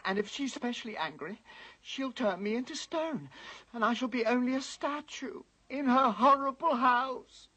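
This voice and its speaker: An actor playing a timid, guilt-ridden but kindly faun in an animated fantasy, anxious and often hushed. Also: mid-range voice, normal speed, husky, poor audio. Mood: anguished confession. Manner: hushed, anxious, expressive, trailing into whispers. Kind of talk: animated fantasy film acting.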